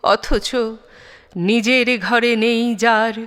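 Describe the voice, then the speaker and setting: A high-pitched woman gives a dramatic poetry recitation. She moves slowly and deliberately through each phrase, leaving expressive pauses. The voice is wrapped in long reverb. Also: smooth. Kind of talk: dramatic, measured poem recitation.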